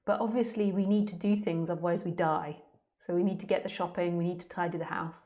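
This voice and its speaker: A woman with a fairly low voice gives an informal spiritual talk, answering questions as a teacher. She speaks candidly and plainly, in a conversational way that feels unscripted. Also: fairly even intonation, fast, mellow.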